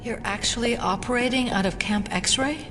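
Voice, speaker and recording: A woman's voice: a little high, moderate pace, music in the background, natural voice. An actress playing a sceptical female investigator in an audio drama, questioning and incredulous. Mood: amazed. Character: sceptical, alarmed female investigator held along.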